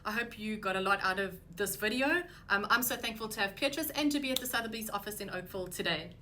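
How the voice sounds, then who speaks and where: Bright-voiced woman hosting a chat. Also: medium pitch, quick pace, slight background noise. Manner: friendly, affirming, relaxed. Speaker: vlogger and interviewer. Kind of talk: casual interview chat. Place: real estate office.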